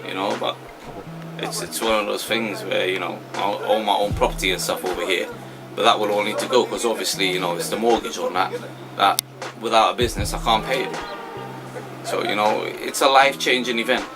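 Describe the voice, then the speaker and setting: Earnest low-voiced man in his forties. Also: fairly even intonation, fairly quick, music in the background. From Trinidad. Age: 40s. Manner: earnest, rueful, full of fillers. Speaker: shop owner. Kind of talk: interview answers.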